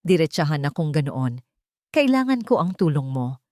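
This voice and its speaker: A woman's voice: sits low, very animated, normal speed, darkish tone, dry recording, clean studio sound. Icy scheming young woman, voiced by a narrator. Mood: cool, direct. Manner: icy, calculating, menacing. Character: cold, scheming young woman blackmailing her former lover.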